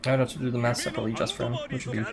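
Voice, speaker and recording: A man's voice: mid-range voice, little pitch movement, very fast. A man giving casual, chatty live commentary while he plays a game as a streamer, in an offhand manner with some mild swearing. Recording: music playing behind the voice. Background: background music.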